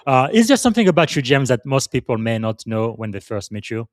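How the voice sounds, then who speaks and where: A man's voice: mid-range voice, big pitch swings, fairly quick, dry recording, studio quality. A man from Congo in Central Africa hosting a conversational interview, feeling his way through sentences and affirming his guest. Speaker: podcast host interviewing a guest.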